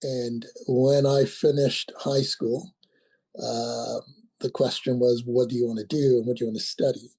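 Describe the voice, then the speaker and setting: Sixty-ish guy from nigeria, husky mellow voice, lots of ums n restarts. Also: mid-range voice, little pitch movement, unhurried, rough recording. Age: about 60. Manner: hesitant, many ums and restarts. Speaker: guest, company founder. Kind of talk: casual interview talk.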